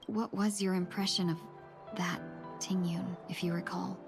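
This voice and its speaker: An actress playing a composed, silver-tongued young fox-woman merchant in a video game, speaking in a measured, polite, wistful way over music. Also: on the low side, little pitch movement, gravelly. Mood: wistful, puzzled. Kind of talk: scripted video game voice acting. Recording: loud game music underneath.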